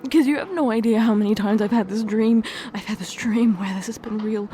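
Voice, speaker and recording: A distraught young fiancée, played by a woman in an audio roleplay, her high voice shaky and tearful. She stammers and repeats herself, the words coming out in halting bursts. A faint hum of background noise sits under the voice. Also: mellow, lightly acted. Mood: pleading. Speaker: ASMR roleplay performer.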